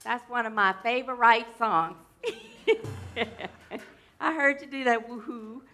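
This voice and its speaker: A woman minister giving a loose, chatty sermon to her congregation. Her voice is scratchy today and she keeps clearing her throat, and she tends to wander off topic and joke along the way. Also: a little high, fairly bright tone. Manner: rambling, playful, drifts off topic mid-sentence. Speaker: minister of a church.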